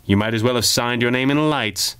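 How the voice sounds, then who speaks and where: A man's voice: medium pitch, fairly quick, a bit bright, smooth, lightly acted. A man voicing a masked male pulp hero in an audiobook, unflappable and teasing, with a dry, scolding manner. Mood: wry teasing.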